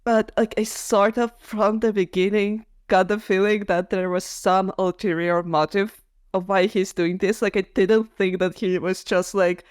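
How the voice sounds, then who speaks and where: A woman's voice: medium pitch, steady tone, unhurried, darkish tone, clear, resonant tone, no echo. A woman co-hosting a podcast, chatting casually about books, opinionated and peppering her talk with "like". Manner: opinionated, heavy use of "like". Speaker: podcast co-host. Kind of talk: casual book discussion chat.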